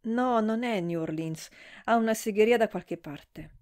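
A woman's voice: medium pitch, unhurried, darkish tone, natural voice. A woman reading uneasy, evasive Fanny. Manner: short, evasive replies.

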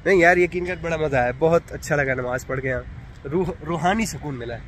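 A man's voice: on the high side, fast, a bit bright. A young man chatting casually into his phone as he walks around the city, upbeat and talkative. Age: young adult. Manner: chatty, upbeat narration to camera. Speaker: vlogger. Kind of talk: casual travel vlog. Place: outdoors on city streets. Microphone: phone held at arm's length. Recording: noisy outdoor street recording. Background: traffic and street noise.

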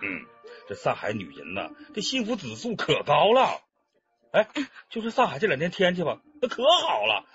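Radio-play villain: chatty Northeastern Chinese crook, mocking, higher-pitched male voice, slightly muffled recording. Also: huge pitch swings, moderate pace, fairly bright tone, faint music behind, heavily acted. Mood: chatty, boastful. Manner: folksy, mocking, drawling colloquial talk. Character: smug, chatty male robber toying with his captive. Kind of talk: radio drama acting.

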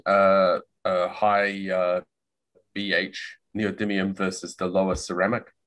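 A man's voice: low-pitched, little pitch movement, slow pace, a bit bright. A man hosting a technical podcast over a video call, asking an interview question. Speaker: podcast host.

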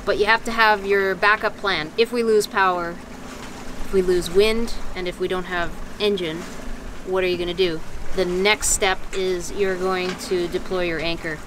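A woman's voice: lowish voice, measured pace. A woman in her thirties explaining sailing basics in a casual, practical way, outdoors on a sailboat with a handheld mic. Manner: explains with hand gestures, relaxed and practical. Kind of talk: casual explanatory talk to camera. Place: outdoors on the deck of a sailboat at sea. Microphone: handheld furry lavalier held near the mouth. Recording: faint wind and water noise.

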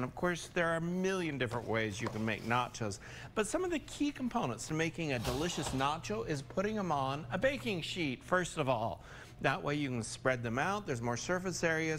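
Lively older American man, cooking host. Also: medium pitch, a little hiss or hum. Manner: chatty, folksy, informal. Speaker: TV chef, cooking segment host. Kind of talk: casual cooking demonstration. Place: TV studio kitchen, indoors.

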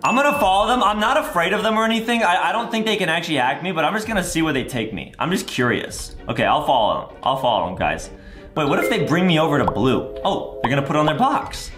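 A man's voice: on the high side, very animated, fast, roomy sound. A young American man giving exaggerated, childlike gameplay commentary for kids, dramatic and repeating himself. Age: young adult. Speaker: gaming video host. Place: indoor recording room. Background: music.

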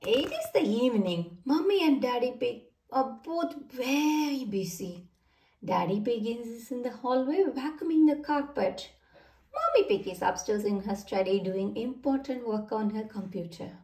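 High, animated woman reading to children. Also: a little slow. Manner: addresses children directly, expressive. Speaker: storybook reader, channel host. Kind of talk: read-aloud children's storytelling. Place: indoor room, away from the mic. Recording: roomy, distant microphone.

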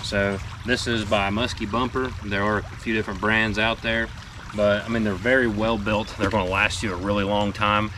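A man in his thirties sitting in a small boat on a river, casually explaining fishing gear to the camera in a relaxed, plain-spoken way with plenty of ums. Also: lowish voice, moderate pace. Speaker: fishing guide and show team member. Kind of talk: casual instructional talk to camera. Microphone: camera mic from a few feet away. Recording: distant outdoor camera mic with noise.

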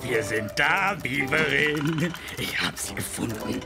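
A kindly old-fashioned beaver from an audio drama. He is folksy and conspiratorial, with a gravelly edge and lively, unhurried delivery over music. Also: mid-range voice. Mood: warm, busy. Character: kindly, secretive male talking beaver, homely host. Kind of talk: German audio drama acting. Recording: music under the voice.

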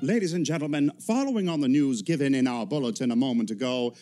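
An actor playing a formal, urgent 1930s radio news announcer reading bulletins in a radio play. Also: male voice, medium pitch, quick pace, loud music behind the voice, lightly acted.

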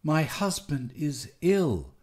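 A man's voice: fairly high pitch, huge pitch swings, takes it slowly, pitched above the performer's own voice, exaggerated voice. A man voicing a desperate, tearful wife who pleads urgently in a dramatic story. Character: desperate tearful young wife begging for help.

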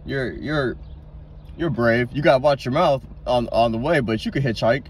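A man in a casual phone conversation, talking informally and haltingly, often repeating his phrases. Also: medium pitch, distant mic. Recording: off-mic phone call, faint car noise.

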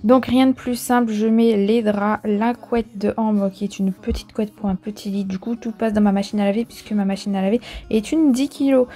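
A woman with a soft, mellow tone and a medium pitch narrates a casual vlog. She explains each step in a chatty, practical way. Light music plays behind her voice. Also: steady tone, normal speed, few pauses. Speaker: vlogger, host. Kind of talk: casual cleaning vlog voice-over. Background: soft background music.

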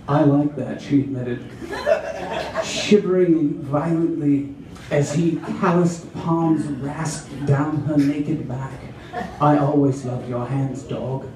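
Slow, high-pitched man reading on an echoey stage. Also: far from the mic. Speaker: performer reading aloud on stage. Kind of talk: dramatic reading of erotic fiction for comic effect. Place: small bar stage, indoors. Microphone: stage mic on stand, recorded by audience camera from afar. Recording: echoey small venue from audience, crowd laughing.

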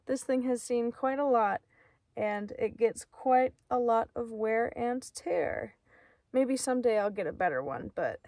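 Slow-talking, giggly young woman vlogging. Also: on the high side, creaky, darkish tone, no echo, clean studio sound. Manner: chatty, giggly, exclaims often. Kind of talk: casual vlog chat to camera. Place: inside a parked car and outdoors on forest trails. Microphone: phone or camera held at arm's length.

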